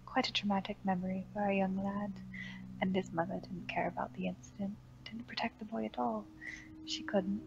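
A woman voicing a calm, manipulative female seer in a horror story reading, in a whispery, soothing, slow hushed voice. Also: mid-range voice, creaky, highs cut off, background noise, close to an everyday voice.

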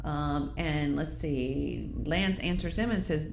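A woman co-host with a somewhat low voice reads viewer comments aloud on a live business show. She chimes in with quick affirmations between the questions. A faint hiss sits under her voice. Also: gravelly. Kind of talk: live-stream co-hosting.

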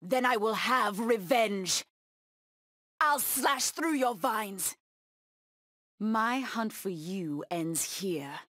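A bloodthirsty cat lady villain from a fighting game, proud n taunting, really slow menacing lines, super dry sound. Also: mid-range voice, expressive, fairly bright tone, no echo, full character voice. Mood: fierce, bloodthirsty. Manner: menacing, taunting, deliberate delivery. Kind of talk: video game character battle taunts.

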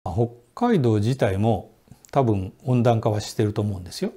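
A man in his sixties speaks in a low, dark and somewhat husky voice. He explains at an easy pace and pauses on fillers as he answers interview questions. He is recorded seated indoors in a quiet room. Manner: relaxed and explanatory, many fillers. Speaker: sake brewery director. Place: indoor Japanese room with sliding doors.

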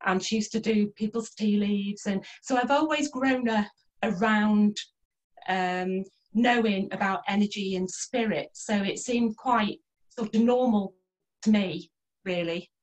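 A woman's voice: mid-range voice, unhurried, low-quality recording. A middle-aged woman from North Wales, born in Liverpool, telling her life story casually as an interview guest on a video call. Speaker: interview guest, holistic therapist. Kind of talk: casual interview, personal reminiscence. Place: indoors in a small room at home, on a video call. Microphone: computer microphone on a video call.

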